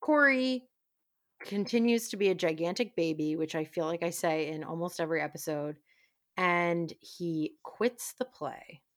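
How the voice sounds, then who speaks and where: A woman with a slightly low voice recaps an old episode in casual chat. Her talk is wry and loose, full of little fillers. The recording is close and dry with no room sound. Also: measured pace, no room sound. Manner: wry, chatty, many "like" fillers. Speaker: podcast co-host.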